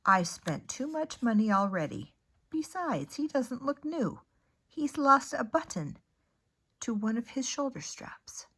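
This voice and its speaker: An older woman voicing a weary storybook mother who sighs and gently refuses. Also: fairly high pitch, sing-song, a little slow, slightly rough, pitched above the performer's own voice, heavily acted. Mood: weary refusal. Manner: sighing, dismissive.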